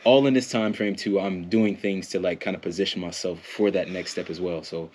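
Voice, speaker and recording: A man's voice: sits low, steady tone, fairly quick, darkish tone, a little hiss or hum. An American man in a casual podcast interview, a performance coach talking in a rambling way, with lots of fillers, restarts and laughs. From United States.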